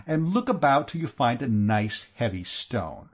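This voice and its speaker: A man voicing an irate, sharp-tongued aristocratic aunt in an audiobook, scolding with scathing exasperation. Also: medium pitch, very animated, unhurried, slightly rough. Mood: sardonic. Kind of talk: audiobook character voice.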